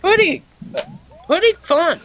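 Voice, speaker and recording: A man's voice: very high-pitched, expressive, unhurried, fairly bright tone, lo-fi sound, slight background noise. A man calling in to a gaming show over Skype, hesitant with short answers. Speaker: caller. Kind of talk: call-in chat.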